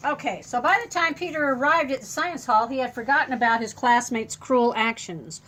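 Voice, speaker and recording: An older woman reading a children's story aloud as a grandmotherly storyteller, adding simple, expressive asides to explain things to a small child. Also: on the high side, a little fast, poor audio.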